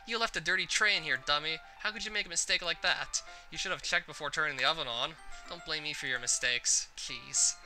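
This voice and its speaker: A man's voice: on the high side, fairly quick, bright, forceful tone, faint music behind, poor audio. A man voicing a feisty, bossy, easily flustered teenage girl from an anime visual novel, scolding and defensive.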